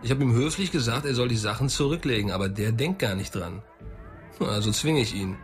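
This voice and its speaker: Casting: male actor for tough vengeful sidekick in a radio play, rough talk and swagger, light music underneath. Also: mid-range voice, expressive, brisk, lightly acted. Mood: grimly defiant. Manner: coarse, swaggering. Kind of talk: radio drama dialogue.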